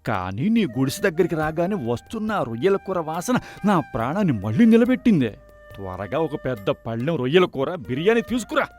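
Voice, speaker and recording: A man plays a famished villager calling out for food in a moral tale. He is exclamatory and pitched up, swooping widely, with music behind. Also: big pitch swings, fairly quick, a little mellow. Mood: hungry, impatient. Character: hungry, exhausted, boisterous male village customer. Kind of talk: dramatized moral story voice acting.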